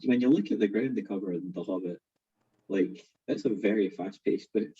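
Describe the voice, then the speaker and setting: A man's voice: fairly low pitch, moderate pace, mellow, breathy edge. A man chatting casually in a book discussion, recorded in muffled lo-fi audio.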